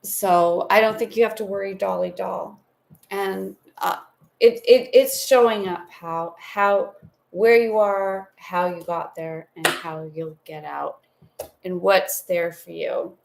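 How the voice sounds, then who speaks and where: Woman tarot reader on a home live stream; slow, unhurried delivery with a gravelly edge; gentle and spiritual. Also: medium pitch, steady tone. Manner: soothing, meandering, spiritual talk with affectionate asides.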